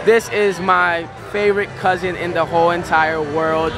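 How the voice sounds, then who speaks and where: A young man from Colombia, pitched fairly high, banters and introduces friends straight into a camera held at arm's length. Music thumps behind him and a packed crowd chatters close by. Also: steady tone. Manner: upbeat, playful banter, quick introductions. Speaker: vlogger. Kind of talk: casual vlog chat. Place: church venue after a concert, crowd around.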